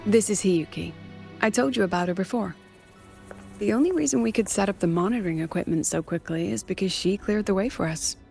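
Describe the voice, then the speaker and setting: A woman's voice: medium pitch, brisk, vocal fry, dark tone, faint music behind, lightly acted. A woman voicing a composed, admiring academy colleague in a video game, explaining things with a lightly wry manner. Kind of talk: scripted video game dialogue.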